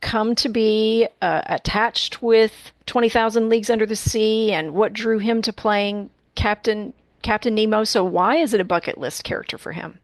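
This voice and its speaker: A woman's voice: medium pitch, fairly even intonation, moderate pace, hardly any pauses, dry recording. A woman speaking as an interviewer and host in a casual, friendly conversational style.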